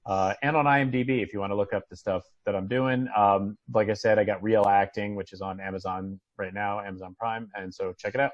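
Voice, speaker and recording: A man's voice: on the low side, fairly even intonation, fairly quick, hardly any pauses, dry recording, dull audio. An American man chatting casually on a video call in a rambling, conversational way. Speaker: actor and guest on a video call. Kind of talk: casual chat. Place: at home on an online video call.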